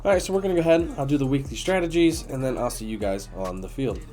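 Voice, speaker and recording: A man with a raspy, nasal, congested voice giving casual commentary on a video game as host. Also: medium pitch, quick pace, mellow, faint music behind. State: congested after being sick.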